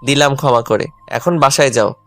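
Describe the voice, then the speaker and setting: A man's voice: medium pitch, normal speed, fairly bright tone, lightly acted. A man playing a hurt, angry, stubborn young husband in a dramatised audio love story. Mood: cold, hurt. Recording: music under the voice.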